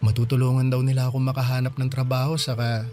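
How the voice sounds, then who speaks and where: A man's voice: medium pitch, completely flat delivery, fast, warm tone, soft background music, natural voice. An actor playing an earnest, eager young man in love, pleading in a radio drama.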